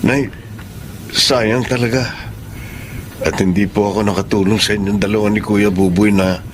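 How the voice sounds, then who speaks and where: A man's voice: lowish voice, fairly even intonation, normal speed, lightly acted. A man playing an earnest, emotional grown son and grieving father in a radio drama, starting phrases hesitantly. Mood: wistful. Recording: noisy radio drama track.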